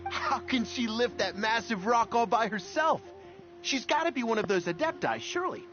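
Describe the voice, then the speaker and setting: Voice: mid-range voice, sing-song, moderate pace, natural voice. An actor playing an amazed, gushing townsperson in a video game. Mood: awestruck. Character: awestruck ordinary townsperson marvelling at a feat of strength. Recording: dull game capture with music behind.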